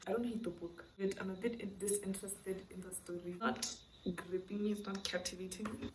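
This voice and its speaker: A woman's voice: medium pitch, steady tone, brisk, background noise. A woman chatting casually and candidly in slangy, conversational language to camera, as a book vlogger. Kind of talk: casual reading vlog chat to camera.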